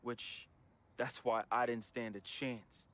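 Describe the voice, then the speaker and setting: A man's voice: mid-range voice, little pitch movement, slow, fairly bright tone. An actor playing a troubled, grieving young American man reading a farewell letter to his friend in an emotional, slangy, confessional voice-over. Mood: wistful, fond. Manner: emotional, slangy, heartfelt urban American delivery. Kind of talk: dramatic confessional voice-over monologue. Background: music.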